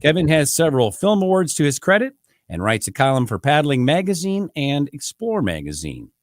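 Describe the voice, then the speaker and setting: A man's voice: fairly high pitch, very animated, brisk, no echo, clean studio sound. A man from the United States hosting a casual podcast, joking and laughing often. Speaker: podcast host.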